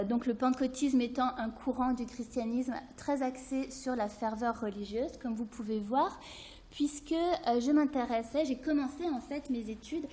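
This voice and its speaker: French woman academic talking through her slides, lots of uhs, mellow voice a bit high. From France. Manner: hesitant, many fillers and restarts. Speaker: anthropology professor presenting her research.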